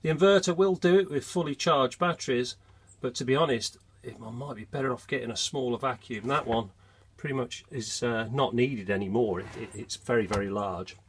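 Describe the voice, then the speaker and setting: A man's voice: medium pitch, fairly quick, breathy edge. A man with a blocked nose from a cold, chatting through a step-by-step DIY job on his narrowboat. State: congested with a cold. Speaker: vlogger. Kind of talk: casual DIY vlog.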